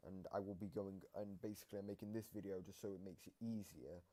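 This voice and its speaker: A man's voice: low-pitched, fairly even intonation, quick pace, warm tone. A man hosting a casual gaming analysis video, explaining things in a rambling way. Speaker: video host. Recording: noisy home microphone recording.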